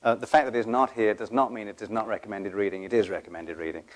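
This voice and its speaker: A man moderating a conference session, introducing the next speaker in a halting way with frequent fillers. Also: on the low side, fairly even intonation. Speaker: panel moderator.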